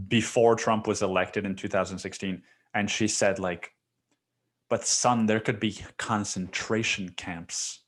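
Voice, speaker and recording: A man's voice: on the low side, a bit bright. A young man hosting his own podcast, talking alone in a loose, rambling way, full of swearing and "dude". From Mexico. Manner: rambling, profane, filler-heavy with repeated "dude". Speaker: podcast host. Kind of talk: casual solo monologue.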